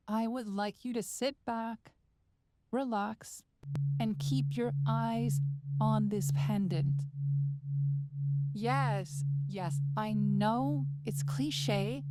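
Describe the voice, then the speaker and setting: A woman playing a calm, quietly controlling therapist and hypnotist in a measured, coaxing audio roleplay with deliberate pauses. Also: medium pitch, very noisy. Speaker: therapist character.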